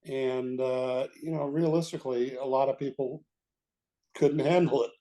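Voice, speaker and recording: An older man reminiscing as an interview guest, telling his stories in a halting, measured way. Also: mid-range voice, fairly even intonation, dark tone. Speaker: guest, veteran radio executive.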